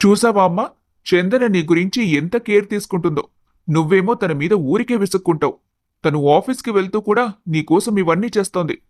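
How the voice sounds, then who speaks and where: A man playing a gently chiding male relative in an animated family story. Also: high voice, fairly quick, no room sound, studio quality. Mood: earnest, chiding.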